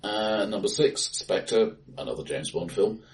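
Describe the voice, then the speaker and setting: A middle-aged man chatting casually about films in a dry, wry way as a podcast co-host, in a bright, echoey room. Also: fairly low pitch, expressive, measured pace, recorded from a distance. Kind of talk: casual film chat. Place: face to face in an acoustically bright room.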